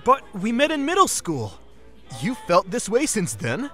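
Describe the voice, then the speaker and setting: A man playing an excitable, well-meaning 1950s teenage gang boy, earnest and awkward, in a comic dub. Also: high voice, a little slow, exaggerated voice. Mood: startled, sheepish. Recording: music behind the voice.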